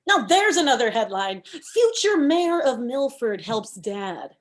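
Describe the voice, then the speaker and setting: A woman's voice: a little high, big pitch swings, a little slow. A woman playing a pushy, combative thirty-year-old campaign manager in a comedy table read, arguing emphatically. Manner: argumentative, emphatic.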